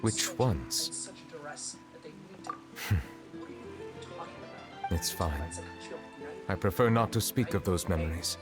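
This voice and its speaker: Grave, formal dragon judge, very deep voice, measured delivery, game music behind. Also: male voice, expressive, exaggerated voice. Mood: solemn. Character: ancient dragon in human form, grave chief justice. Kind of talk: video game voice acting. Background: game background music.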